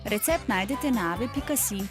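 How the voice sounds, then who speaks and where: A woman reading scripted voice-over narration for a cooking show in a clear, measured way. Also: a little high, animated. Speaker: voice-over announcer. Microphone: studio voice-over. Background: music bed.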